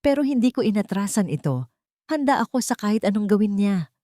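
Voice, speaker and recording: A woman's voice: medium pitch, sing-song, fairly quick, mellow, no echo, pitched above the performer's own voice, natural voice. A woman acts out a fierce wronged wife from a melodramatic audio story. She is bitter and defiant, with emphatic delivery and sweeping intonation in a close, dry sound.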